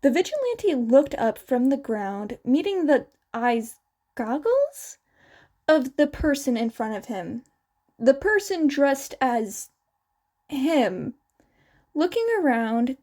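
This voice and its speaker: A woman reading fan fiction aloud as a scripted, expressive story narration. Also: fairly high pitch. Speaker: podfic narrator.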